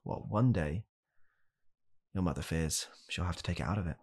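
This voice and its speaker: A young man playing a blunt, callous father in an ASMR comedy roleplay, speaking softly and haltingly in a deadpan way. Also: sits low, breathy edge. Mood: deadpan, bleak. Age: young adult. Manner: soft-spoken, halting, deadpan. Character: blunt, callous father calmly blaming his child. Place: small home room, indoors.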